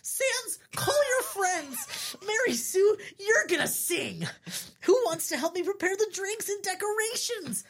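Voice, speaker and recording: A woman voicing an excitable, childlike male cartoon skeleton who gushes in screechy exclamations. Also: extremely high pitch, measured pace, slight background noise, pitched above the performer's own voice, exaggerated voice. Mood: beaming.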